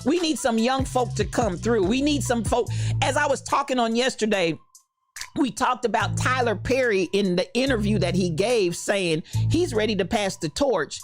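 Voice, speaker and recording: Animated high-voiced pastor woman in her fifties. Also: moderate pace, soft background music. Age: 50s. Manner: animated, preacherly, calls out to viewers. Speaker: pastor and livestream host. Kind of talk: lively livestream talk greeting chat.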